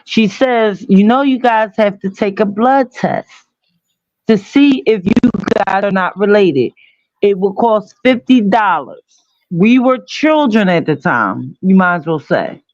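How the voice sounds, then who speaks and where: A woman's voice: medium pitch, expressive, a little slow, darkish tone, no echo, dull audio. A woman from Trinidad, a caller in a casual live chat, insistently repeating her point in a heated debate.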